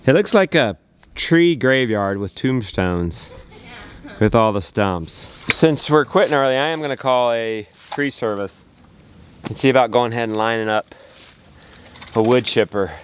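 An upbeat man in his thirties talks straight to a handheld camera as he works around his farm and woods. His voice sits at an ordinary middle pitch. Clear outdoor noise runs behind him. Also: animated, unhurried. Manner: upbeat, talking to camera while working. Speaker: homestead vlogger. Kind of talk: casual vlog narration.

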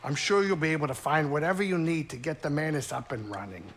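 An actor playing a caring older male alien pilot with a gruff, folksy, streetwise way of talking, in a video game cutscene. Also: on the high side, fast talker, a bit bright, a little hiss or hum. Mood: warm, matter-of-fact.

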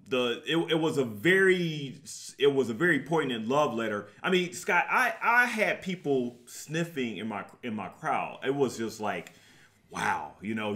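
A middle-aged man talks through a movie review as a co-host. His delivery is unscripted and conversational, at a medium pitch, often repeating a phrase and circling back before he lands the point. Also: sharp tone. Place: indoor room, seated before a projection screen.

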